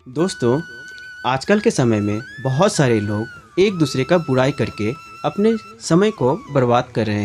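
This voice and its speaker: Lively male moral-story narrator over music. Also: medium pitch. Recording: background music under the voice.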